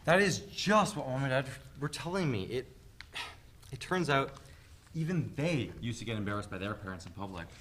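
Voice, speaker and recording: A man's voice: medium pitch, expressive, normal speed, fairly bright tone, slight background noise, lightly acted. A man playing an awkward, easily embarrassed eighteen-year-old son in a dramatic play reading. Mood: relieved, frank.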